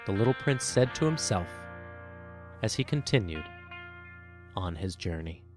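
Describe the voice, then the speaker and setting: A man's voice: deep voice, animated, a little slow. A man reading scripted storybook narration as the narrator of a children's tale. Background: plucked guitar music.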